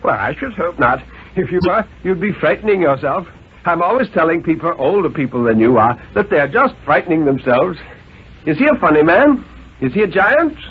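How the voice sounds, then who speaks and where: Condescending radio father, acted by a man, high-pitched and emphatic, tinny old recording. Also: sing-song, moderate pace, husky. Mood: insistent, lecturing. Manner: pompous, insistent, jolly-patronizing, emphatic. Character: overbearing, condescending father lecturing his small son. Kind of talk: 1950s radio dramatic reading.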